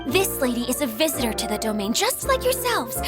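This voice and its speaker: A woman's voice: high-pitched, sing-song, a little fast. An actress playing an earnest young female caretaker who greets visitors formally and apologizes a lot, in game voice acting over music. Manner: formal, eager host, flustered apologies. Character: earnest young woman caretaker, fussy and polite. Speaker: caretaker of a domain. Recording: game audio with music behind.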